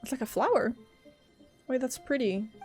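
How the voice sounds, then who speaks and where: A young woman streams a game and reacts to it out loud. Her voice is fairly high, casual and playful, full of slang, with faint music behind it. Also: lively intonation, moderate pace. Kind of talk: casual live gameplay reaction.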